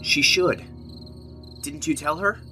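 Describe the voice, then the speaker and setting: A man voicing an anxious, guilt-ridden young goat monster prince in an animated comic dub, with a hesitant, expressive delivery. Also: a little high, takes it slowly, poor audio. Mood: uneasy. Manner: hesitant, self-doubting, expressive. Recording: music behind the voice.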